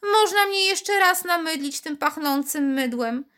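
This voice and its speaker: A woman voicing a small magic blue bead, a fussy male figure with a thin, high voice that grumbles impatiently. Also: animated, measured pace, bright, forceful tone, full character voice. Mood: dreamy.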